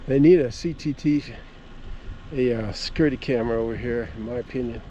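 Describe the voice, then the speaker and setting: A man with a relaxed, mellow voice of medium pitch talks casually as he walks around outdoors. He is folksy and plain-spoken, rambling from one remark to the next. A little ambient vehicle noise sits behind him on the outdoor recording. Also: fairly quick, highs cut off. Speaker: amateur radio vlogger. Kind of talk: casual walk-around vlog narration. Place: outdoors at a campground.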